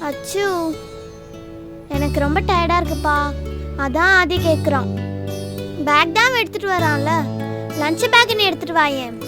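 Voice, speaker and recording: A peaceable little schoolgirl in a children's cartoon, high-voiced and weary. Her accent is Indian Tamil and she talks in a placating way, over clear music. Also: moderate pace, long pauses. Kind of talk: animated children's series acting.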